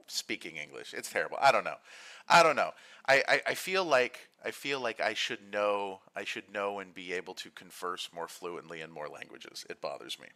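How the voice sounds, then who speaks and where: A man's voice: on the low side, bright, forceful tone. A man with an American accent, hosting a casual livestream chat in a rambling, self-deprecating, joking way. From United States. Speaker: host.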